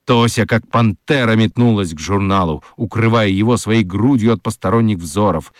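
A man narrating a children's radio story with dramatic, expressive delivery and deliberate pauses. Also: on the low side, no room sound, clean studio sound.

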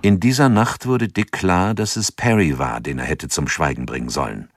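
A man narrating a radio drama in a calm, measured way. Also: deep voice, dry recording, clean studio sound. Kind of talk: scripted narration.

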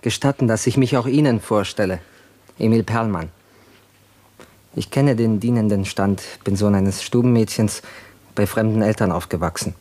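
An actor playing an earnest newspaper editor who speaks formally and wordily with old-fashioned courtesy in a period radio drama. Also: male voice, lowish voice, normal speed, dark tone, lightly acted. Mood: courteous, earnest.

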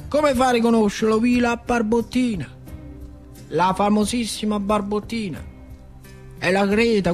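An actor playing a plainspoken, wistful older factory worker with a central Italian, Lazio accent, in a theatrical monologue with colloquial repetitions. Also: male voice, sits high. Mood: animated, emphatic. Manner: colloquial, repetitive, dramatic swings from emphatic to hushed. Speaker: stage actor.

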